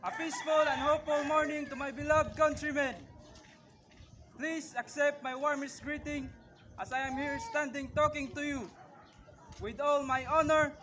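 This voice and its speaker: A man's voice: very high-pitched, flat delivery, normal speed, over music. A young man with a Filipino accent playing a solemn, proud revolutionary general in an amateur historical drama. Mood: proud, rousing. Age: young adult. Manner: solemn, declamatory.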